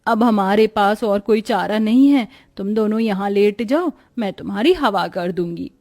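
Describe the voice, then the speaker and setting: A woman's voice: medium pitch, moderate pace, a little mellow, close to an everyday voice. A woman voicing a poor, weary, devoted village mother in a cartoon story, speaking tenderly, earnestly and plaintively. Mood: tender, resigned.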